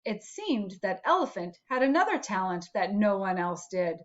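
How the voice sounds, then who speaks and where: A woman narrating a children's storybook aloud in a measured, expressive storytelling manner. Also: medium pitch, highs cut off. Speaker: storybook reader.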